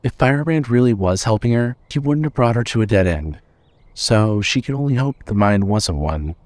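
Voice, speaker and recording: A man's voice: mid-range voice, lively intonation, normal speed, a little mellow, no room sound. A man reading scripted fiction as the narrator of an audio story.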